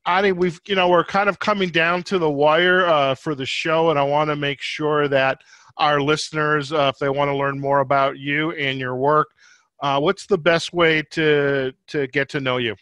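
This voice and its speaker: A man hosting a podcast interview in a conversational way, often pausing and restarting his phrases. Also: on the high side, little pitch movement, fairly bright tone, no room sound. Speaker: podcast host.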